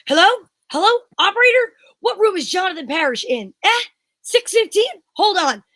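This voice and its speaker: A flustered, excitable police inspector from an old-time radio detective play, acted by a woman. The voice is extremely high and sharp, recorded dry, with melodramatic, agitated delivery. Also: normal speed, dry recording, full character voice. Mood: urgent.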